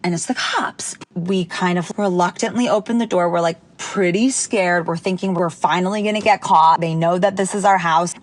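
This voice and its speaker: Woman, young adult, casual anecdote, chatty, animated, filler-heavy. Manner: animated, jumps high when quoting people. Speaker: storyteller.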